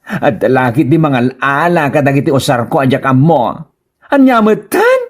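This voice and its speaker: Dramatic, whining Ilocano daughter played by a woman, young adult, highly animated intonation, for Filipino radio comedy. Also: moderate pace, slightly rough, heavily acted. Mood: exasperated. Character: whiny, dramatic grown daughter fussing over belongings.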